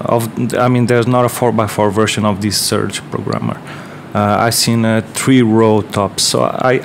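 A man's voice: on the low side, slight background noise. A man with a Portuguese accent, casually explaining his workbench build, rambling with many fillers and restarts. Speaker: electronics maker. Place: workbench, indoors.

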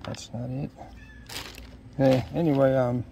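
Male unboxing vlogger, middle-aged, unhurried and hesitant, casual delivery, outdoor background noise. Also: mid-range voice, flat delivery, warm tone. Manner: hesitant, musing to himself in short fragments. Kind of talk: casual unscripted unboxing chat. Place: outdoors in a leafy yard. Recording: outdoor ambient noise behind voice.

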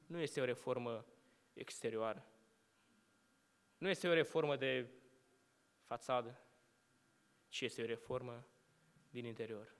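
A young male preacher speaks from a lectern in a church hall. His clear, bright voice rings out with a long reverb trailing each phrase. He builds rhetorical questions and lets his sentences sink to a hushed close. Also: medium pitch. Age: young adult. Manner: measured rhetorical preaching, rising on questions and dropping to hushed endings. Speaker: student preacher. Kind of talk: sermon. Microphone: lectern microphone.

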